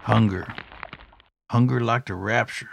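An actor playing a brooding, uneasy backwoods man telling folksy, measured tales in a folk horror audio drama. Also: mid-range voice, creaky, lo-fi sound, a little hiss or hum. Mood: wistful, eerie.

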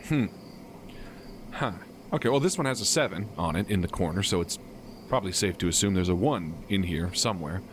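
A man's voice: low-pitched, expressive, brisk, slight background noise. A man acting in an audio drama as a tired, dry, sarcastic friend just off work, speaking naturalistically. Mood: curious.

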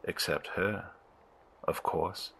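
A man's voice: low-pitched, fairly even intonation, slow pace, vocal fry, sharp tone, slightly rough, heavily acted. An actor playing a pious, wary cult zealot in an audio drama, solemn and formal in his phrasing. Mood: solemn, superior.

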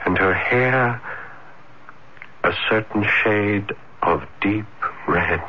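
An actor playing a shy, ardent young dreamer in a 1970s radio drama, hesitant and breathless with florid romantic phrasing. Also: male voice, lowish voice, very slow. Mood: earnest, adoring. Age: young adult. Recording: muffled noisy old radio broadcast.

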